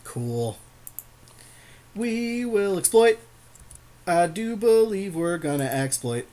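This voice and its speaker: A man's voice: high voice, very animated, slow, dark tone. A man co-hosting a podcast, casually commentating a card game with a friend in joking, slangy talk with offhand swearing.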